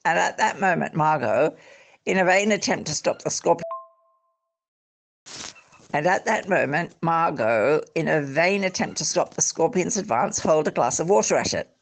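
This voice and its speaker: An Australian reader telling a literary story aloud expressively, in measured phrases with deliberate pauses. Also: mid-range voice, animated, creaky. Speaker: audiobook-style reader.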